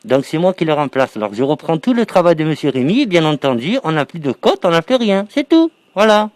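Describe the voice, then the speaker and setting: A man's voice: fairly high pitch, very animated, brisk, no room sound. A man on a phone call posing as a company manager, explaining himself insistently and repetitively. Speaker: prank caller posing as window company manager. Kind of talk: phone conversation, improvised prank.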